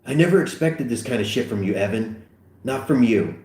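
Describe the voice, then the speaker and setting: A man voicing a brash teenage boy who rants in quick accusing bursts. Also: medium pitch, animated, a little mellow, close to an everyday voice. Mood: angry. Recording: roomy echo.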